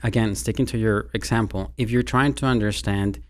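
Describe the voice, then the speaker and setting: A man's voice: on the low side, fairly even intonation, brisk. A man from Mexico with a Mexican Spanish accent, a university marketing executive talking as a guest in a conversational interview, who often repeats words as he starts a sentence.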